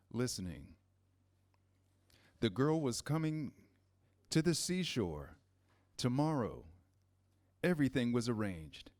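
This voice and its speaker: A man narrating a scripted children's story in measured, pausing phrases. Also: mid-range voice, darkish tone. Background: music, guitar.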